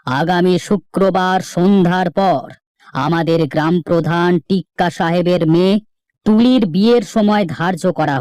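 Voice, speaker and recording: A man's voice: high-pitched, a little mellow, no echo. A man voicing a village crier in a cartoon, proclaiming a formal announcement with repeated calls.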